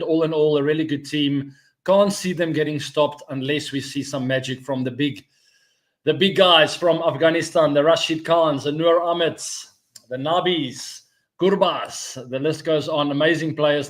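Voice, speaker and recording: A man in his forties, hosting a live cricket stream in a chatty, rambling, upbeat way, thinking aloud as he goes. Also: a little high. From South Africa. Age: 40s. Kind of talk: casual live-stream chat.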